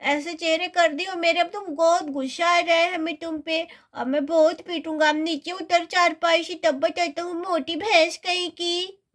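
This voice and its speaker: A woman's voice: very high voice, steady tone, moderate pace, a bit bright. A woman voicing a whiny, indignant young boy in a children's cartoon story. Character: indignant young boy, sleepy whining brother.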